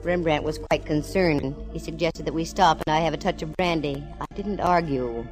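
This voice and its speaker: A woman's voice: sits low, moderate pace, natural voice, low-quality recording. A woman playing a wisecracking, confident private eye, narrating in wry 1940s radio style. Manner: wry, sardonic. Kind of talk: 1940s radio acting, first-person noir narration. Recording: vintage 1940s-50s radio recording, music behind.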